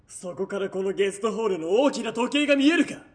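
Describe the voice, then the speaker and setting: A man's voice: sits high, expressive, quick pace, husky. A man playing a sneering, scheming military police villain in an audio drama, taunting and theatrical. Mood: shouting, threatening. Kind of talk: Japanese audio drama acting.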